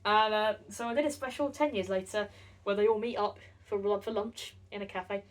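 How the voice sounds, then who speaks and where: A woman's voice: medium pitch, fairly even intonation, normal speed, distant mic. A woman co-hosting a casual chat, dry, blunt and teasing. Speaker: co-host.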